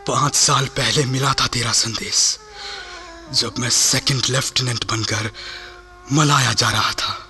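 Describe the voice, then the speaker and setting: An actor playing a proud, loyal Sikh army officer in a Hindi radio play, declaiming with passion. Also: male voice, medium pitch, fairly even intonation, a little slow, bright, forceful tone, big-room sound. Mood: bitter, tender. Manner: impassioned, declamatory, rhetorical questions.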